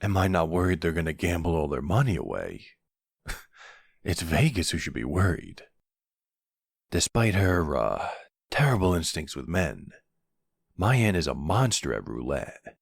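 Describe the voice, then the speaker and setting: Man playing a deep, smug young mafia boss. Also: sing-song, gravelly, slightly rough, no room sound, studio quality, exaggerated voice. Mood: smug, playful. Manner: teasing, unhurried, smug. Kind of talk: ASMR boyfriend roleplay acting.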